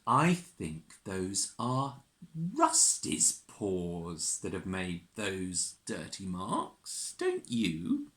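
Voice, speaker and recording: Storyteller reading little boy from a picture book, soft and gentle, slow, bright. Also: sits low, huge pitch swings, pitched below the performer's own voice, heavily acted. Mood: wondering.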